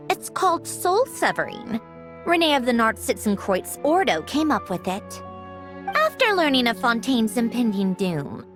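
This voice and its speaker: An actress voicing a self-assured, haughty young woman scientist in a game, explaining things in a crisp, condescending way. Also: a little high. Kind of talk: scripted video game character dialogue. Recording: game music behind the voice.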